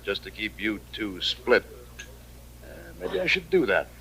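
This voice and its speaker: An American actor playing a cynical, world-weary gunfighter sheriff in an old-time radio western, speaking tersely and fatalistically. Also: male voice, fairly low pitch, sing-song, unhurried, creaky, pushed and bright, exaggerated voice. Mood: cool, knowing. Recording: vintage western radio drama recording.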